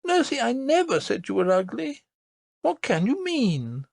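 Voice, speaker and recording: An earnest little princess girl, as a man reading a storybook puts her on, her voice pitched well up and bending widely as she pleads and insists. The reading is close and dry, with a gravelly edge showing through. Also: huge pitch swings, measured pace, a little mellow, no room sound, full character voice.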